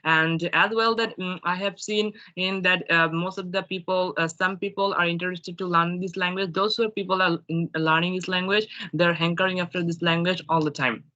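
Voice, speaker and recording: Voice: mid-range voice, steady tone, moderate pace, hardly any pauses, bright, forceful tone. Polite Bengali-speaking interviewer, lo-fi call. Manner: polite, formal, hesitant with fillers. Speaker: co-host, English learner interviewer. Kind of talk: casual interview for conversation practice.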